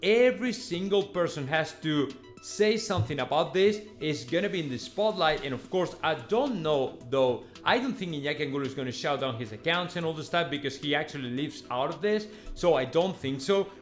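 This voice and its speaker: A man's voice: a little high, lively intonation, a little fast, hardly any pauses. A man talks casually to his audience as a football host. His delivery is conversational and emphatic, with repeated phrases and checking tags at the ends of thoughts. Light music plays underneath. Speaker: football vlogger and fan channel host. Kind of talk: casual news commentary to viewers.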